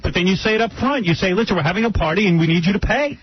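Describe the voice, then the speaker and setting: A man's voice: sits high, expressive, rapid-fire. A man hosting a radio show, bantering heatedly and emphatically, often repeating phrases to press his point. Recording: dull, noisy radio recording.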